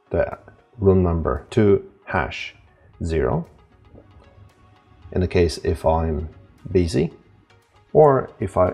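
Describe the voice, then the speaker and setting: A man presenting an informal, unscripted software tutorial, explaining step by step in a halting way. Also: low-pitched, vocal fry, husky.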